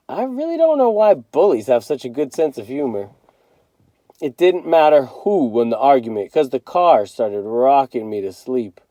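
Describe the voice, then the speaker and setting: Mellow man narrating a children's novel. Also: on the high side, dry recording. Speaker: teacher reading to students. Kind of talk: expressive storybook narration.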